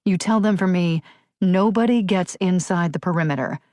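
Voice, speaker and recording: A woman's voice: on the low side, normal speed, no echo, studio quality, close to an everyday voice. Woman narrator voicing a tough, protective policewoman. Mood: firm. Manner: urgent, forceful commands. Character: tough, commanding female small-town police officer, protective. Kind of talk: audiobook character dialogue.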